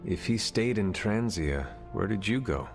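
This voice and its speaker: A man playing a stoic, probing warrior hero in a video game cutscene, with a gravelly voice and formal, deliberate speech. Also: fairly low pitch, lively intonation, soft background music. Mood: curious. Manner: formal, deliberate, few contractions.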